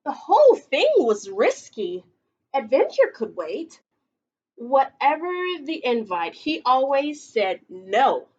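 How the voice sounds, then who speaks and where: A young woman reads a children's story aloud in a high voice, lingering slowly over the words. She checks in with her young listeners through questions, and the webcam sound in a small room is slightly dull. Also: sing-song, a little mellow, breathy edge. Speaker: teacher reading aloud. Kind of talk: expressive picture-book read-aloud with questions to children. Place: small indoor playroom.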